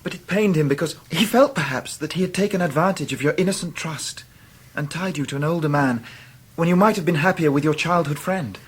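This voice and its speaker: An earnest young Victorian gentleman in a period radio drama, loyal and grave. His voice is fairly high for a man, with a husky edge. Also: a little fast.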